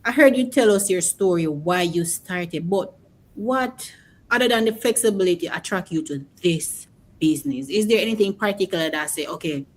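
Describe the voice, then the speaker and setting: A woman's voice: fairly low pitch, animated, moderate pace. A woman hosting an online interview, asking questions and affirming her guest. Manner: encouraging, affirming with "mm-hmm". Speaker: interview host.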